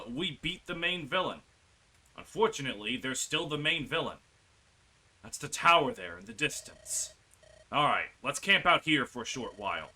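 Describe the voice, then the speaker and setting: Animated male gaming host, higher-pitched and very bright, exclamatory, faint music underneath. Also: husky, poor audio. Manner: animated, exclamatory, drawn-out words for emphasis. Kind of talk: playthrough commentary, reading game dialogue aloud. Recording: music behind the voice. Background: game music.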